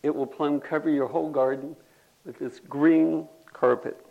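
An older man, telling folksy rambling stories to a gathering and clearing his throat now and then. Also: a little high, steady tone, normal speed, phone-quality sound. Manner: folksy, drawling, says y'all. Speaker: storyteller at a community gathering.

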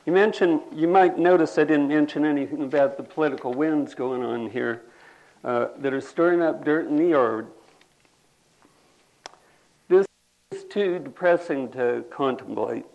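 An older man, telling folksy rambling stories to a gathering and clearing his throat now and then. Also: fairly high pitch, normal speed, a little mellow, muffled recording. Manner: folksy, drawling, says y'all. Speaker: storyteller at a community gathering.

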